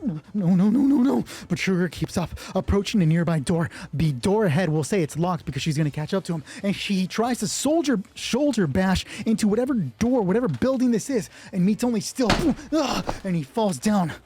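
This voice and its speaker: A man's voice: high-pitched, expressive, normal speed, warm tone, husky. A man narrating an improvised solo tabletop game, thinking aloud casually and swearing freely. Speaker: solo roleplay player and narrator.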